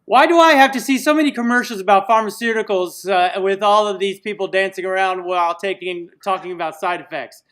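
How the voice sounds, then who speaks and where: High-voiced guy hosting a livestream, rambly and jokey, does goofy voices. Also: brisk, hardly any pauses, a bit bright. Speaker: livestream host. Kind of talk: casual livestream banter.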